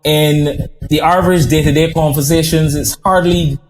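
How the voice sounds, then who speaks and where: A man, talking as a guest chef in a relaxed interview, with a voice pitched a little higher than most men's. He tells his stories with animation and rushes some phrases out quickly, while faint music plays underneath. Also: poor audio. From Barbados. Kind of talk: casual interview chat.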